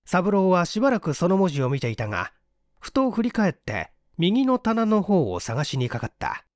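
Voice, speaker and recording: Male audiobook narrator for mystery fiction, animated dramatic read, dry studio-like sound. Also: high-pitched, moderate pace, no room sound.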